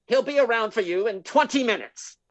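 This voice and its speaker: An actor playing a wealthy older gentleman, formal and fussy, given to exclamations, in a radio detective drama. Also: very high-pitched, expressive, brisk, dry recording. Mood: anxious, insistent.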